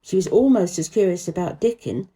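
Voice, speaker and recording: A woman's voice: lowish voice, lively intonation, fairly quick, warm tone. A woman reading a children's story as scripted narration.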